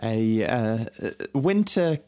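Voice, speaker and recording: A man with a scratchy, croaky throat from a cold, coaching runners through a workout in a relaxed, encouraging way, often repeating words. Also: mid-range voice, drawn-out words. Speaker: running coach. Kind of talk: guided workout talk.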